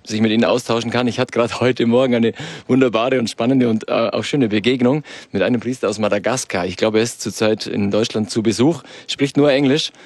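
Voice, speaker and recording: A man answers live radio questions as a priest and youth chaplain. His voice sits at a medium pitch and sounds dry and close. He talks spontaneously, with earnest enthusiasm. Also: fairly even intonation, a little fast, no echo. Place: outside-broadcast van.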